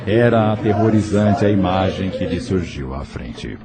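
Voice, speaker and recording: A man narrating an audio novel from a script in a measured storytelling manner. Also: low voice, animated. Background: music.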